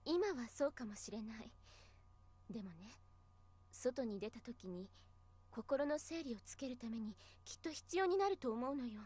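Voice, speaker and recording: A kind, earnest schoolteacher in a visual novel game. She is soft-spoken and composed, with a high voice and brisk delivery. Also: animated, husky. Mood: gentle, earnest. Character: gentle, earnest adult female schoolteacher.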